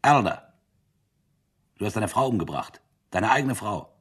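A deep, rough-edged male voice with a sardonic bite. a harsh military captain in a radio drama, interrogating with impatient, bullying authority. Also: lively intonation, unhurried, fairly bright tone, full character voice. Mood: accusing, taunting. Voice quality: low, husky.

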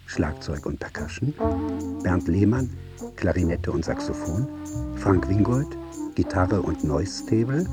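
Very low-voiced male radio announcer. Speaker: radio play credits announcer. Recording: prominent music under the voice.

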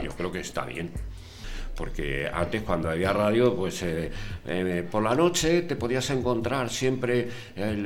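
An older man, a community radio veteran, answering interview questions in an unhurried way with many hesitations. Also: fairly low pitch.

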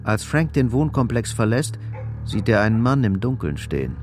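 A man's voice: lowish voice, very animated, normal speed. A man narrating a scripted radio drama, with music behind his voice.

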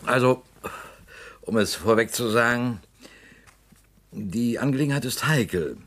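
A man playing a scheming politician in a radio drama, smooth and insinuating. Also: medium pitch, lively intonation, measured pace, fairly bright tone. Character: slick scheming male politician, smooth and sly.

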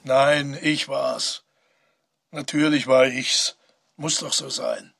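A male actor voicing the proud, insistent inner voice of a former actor in a radio drama, speaking in a deliberate, declamatory way. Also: mid-range voice, steady tone, gravelly, a bit bright, full character voice. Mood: insistent guilt. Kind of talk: theatrical radio drama monologue.

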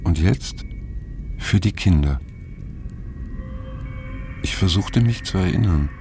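An actor playing a weary, reflective older man in an introspective audio drama, with a gravelly voice and an unhurried manner. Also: deep voice, expressive, music in the background, exaggerated voice. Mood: brooding. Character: weary, unsettled older man looking back on his youth. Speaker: narrator and main character. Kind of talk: introspective audio drama narration and phone dialogue.

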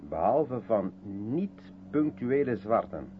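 A man's voice: fairly low pitch, sing-song, slow pace. An actor playing a moody, sardonic male writer in a radio play, with a terse, dry, needling manner. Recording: muffled, phone-like 1970s radio recording, hum.

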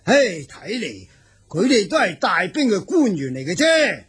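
A proud, indignant warrior king in a radio drama. His voice is badly roughened and husky. He speaks slowly and weightily, halting between emphatic phrases. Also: high-pitched, sing-song, a bit bright, full character voice. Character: proud, indignant Xiongnu king, husband and father.

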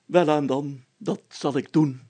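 A man's voice: on the high side, steady tone, a little slow, warm tone, husky. A man voicing a pompous, bossy village mayor in a storybook, grandly declaiming like a self-styled hero. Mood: grandly heroic. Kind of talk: storybook character voice.

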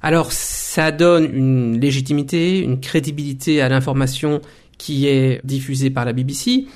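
A man in his forties, a documentary maker and former journalist, telling his story in a radio interview with thoughtful pauses and restarts. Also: mid-range voice, steady tone, a little mellow. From Belgium. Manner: thoughtful, many hesitations and restarts.

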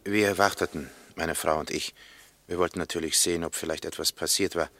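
An actor playing a matter-of-fact engineer giving witness testimony in a 1960s radio drama, concise and sober. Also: male voice, low voice, fairly even intonation, brisk, a bit bright, no echo. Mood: sober, factual.

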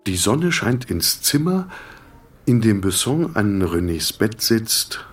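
A man's voice: fairly low pitch, very animated, slow, husky, distant mic, soft background music. A man narrating a literary audio drama from a script.

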